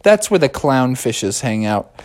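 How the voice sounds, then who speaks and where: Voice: mid-range voice, very animated, unhurried, no echo, clean studio sound. A storyteller playing a bubbly, confident young female cartoon mermaid, chatty and breezy.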